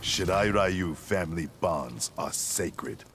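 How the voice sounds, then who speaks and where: An actor playing a stern, proud ninja clan grandmaster in a video game, speaking in a measured, formal, commanding way. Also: male voice, low voice, fairly bright tone, slight background noise. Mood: solemn, commanding. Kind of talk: video game character dialogue.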